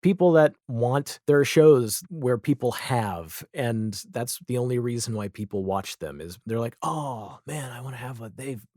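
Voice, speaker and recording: A man's voice: medium pitch, a little slow, hardly any pauses, a little mellow, dry recording. Wry man in a dry, close recording. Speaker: podcast guest, audio drama creator. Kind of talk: casual interview chat about television.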